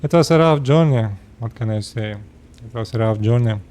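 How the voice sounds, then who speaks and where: A 36-year-old man from Russia with a Russian accent, speaking halting second-language English as he talks casually to his viewers. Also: mid-range voice, darkish tone. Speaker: vlogger. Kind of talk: casual personal talk to camera.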